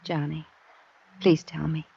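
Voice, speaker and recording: A woman's voice: low voice, flat delivery, slow pace, gravelly, a little hiss or hum, heavily acted. An actress playing an anxious woman who is searching for her runaway husband in a 1950s radio drama. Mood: pleading. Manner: soft, pleading. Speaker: supporting character. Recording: dull old radio transcription.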